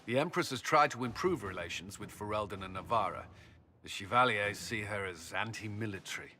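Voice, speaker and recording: An actor playing a serious, matter-of-fact military commander in a video game, crisp and businesslike. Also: male voice, on the low side, animated, fairly quick, bright, forceful tone, a little hiss or hum. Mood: serious briefing.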